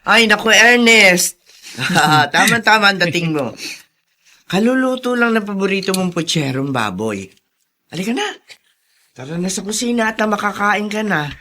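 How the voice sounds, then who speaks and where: A hearty older housekeeper in a radio drama. Her voice is piercingly bright and animated, fussing and effusive in a motherly way. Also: mid-range voice, normal speed. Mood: delighted, bustling. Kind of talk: Filipino radio drama acting.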